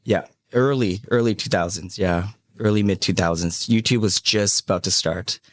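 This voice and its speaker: A man in his thirties who grew up in Hong Kong, a podcast guest telling his life story in a casual, halting way, full of "um" and "you know". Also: on the low side, no room sound. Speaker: podcast guest, media entrepreneur.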